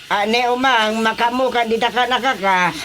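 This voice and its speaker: A man's voice: extremely high pitch, normal speed, sharp tone, a little hiss or hum. A man playing a bossy older uncle, a village chairman, with an Ilocano accent and emphatic delivery in a radio comedy. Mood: hearty, blustering. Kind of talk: Filipino radio drama comedy acting.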